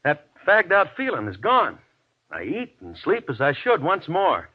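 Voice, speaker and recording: Higher-voiced everyman worker. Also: wildly swooping pitch, moderate pace, breathy edge, full character voice. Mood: relieved. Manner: earnest testimonial delivery. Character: weary, jittery wartime worker in a radio advertisement. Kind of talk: 1940s radio commercial acting. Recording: noisy, dull old radio recording.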